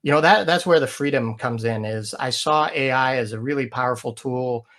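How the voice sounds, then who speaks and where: A man's voice: mid-range voice, normal speed, fairly bright tone. A man who is a guest in a casual interview, telling stories with animation and frequent laughs. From Michigan, USA.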